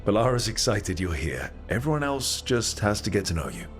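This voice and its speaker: An actor playing a friendly, plain-spoken adventurer hero in a video game, talking casually and briefly. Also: male voice, lowish voice, big pitch swings, brisk, soft background music. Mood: reassuring. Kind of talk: video game character acting.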